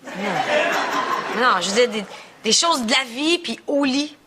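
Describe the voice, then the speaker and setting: A woman's voice: high-pitched, animated, a little slow. An actress in her thirties with a Québécois accent playing a woman defending her taste in older men, earnest and a bit defensive. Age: 30s. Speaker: sitcom character. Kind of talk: sitcom acting. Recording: TV sitcom audio with noise.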